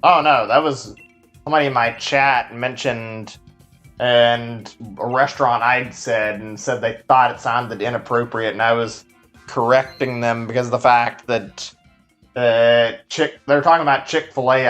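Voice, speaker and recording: Texan male streamer, mid-pitched, rambles through his chat over faint game music, casual and unscripted. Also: a bit bright. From Texas. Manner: rambling, filler-heavy, answers chat as he plays. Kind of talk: casual live-stream chat while playing.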